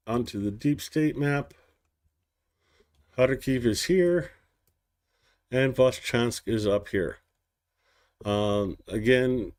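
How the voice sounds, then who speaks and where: A man hosting a daily news stream, reading war reports aloud slowly and stumbling over hard foreign place names, with casual asides. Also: mid-range voice, darkish tone. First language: English. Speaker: news show host.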